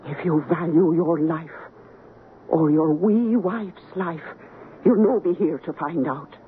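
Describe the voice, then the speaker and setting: Fearful Scottish housekeeper, tinny old radio. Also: female voice, on the low side, takes it slowly. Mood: ominous warning. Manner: solemn, foreboding, rustic dialect. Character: fearful, superstitious Scottish village housekeeper woman. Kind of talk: 1970s radio drama acting. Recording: tinny, noisy 1970s radio broadcast recording.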